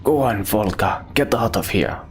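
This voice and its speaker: A man voicing a vengeful warrior consumed by rage, speaking slowly with cold menace. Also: on the low side, animated, lightly acted. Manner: cold, threatening, slow.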